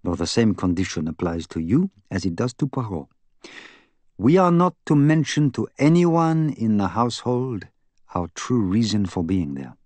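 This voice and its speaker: A man's voice: lowish voice, sing-song, moderate pace, darkish tone, no room sound. A man voicing a fussy, earnest middle-aged Belgian detective in an audiobook, with a French-speaking Belgian accent and precise, emphatic, formal phrasing. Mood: earnest, insistent. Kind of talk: audiobook character acting.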